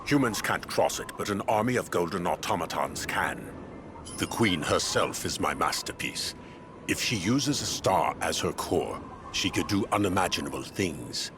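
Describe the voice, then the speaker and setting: A man's voice: low voice, animated, normal speed, noisy background. An actor playing a knowing, grave inventor craftsman in a fantasy video game cutscene.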